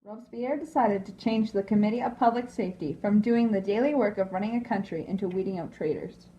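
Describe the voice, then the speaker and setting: A woman's voice: mid-range voice, fast talker, dark tone, highs cut off. A woman reading scripted history narration as the narrator of a puppet show.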